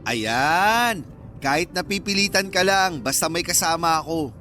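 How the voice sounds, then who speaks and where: A cocky, protective young Filipino man, played by an actor in a radio romance drama. He banters in a playful, boastful, teasing way over soft background music. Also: fairly high pitch, lively intonation, a little slow. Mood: persuasive. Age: young adult.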